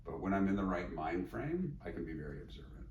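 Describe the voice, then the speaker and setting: Middle-aged man, very low voice, thoughtful interview guest, roomy echo, sits back from the mic. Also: big pitch swings, quick pace, a little mellow, muffled recording. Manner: thoughtful, meandering, many fillers and restarts. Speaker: psychologist and teacher, podcast guest. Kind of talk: reflective interview conversation. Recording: echoey room, mic at a distance, faint noise.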